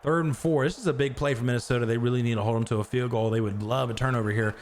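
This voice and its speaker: Excitable male game-stream commentator. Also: racing, slight background noise. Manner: excitable, jumps to high shouts on big plays; chats with viewers between plays. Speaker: stream host and play-by-play commentator.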